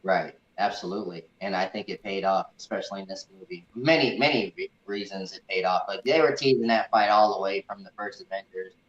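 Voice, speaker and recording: A man in a casual movie review chat, talking as an enthusiastic fan and often repeating words haltingly. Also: sits low, fairly bright tone. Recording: echoey room, dull muffled audio.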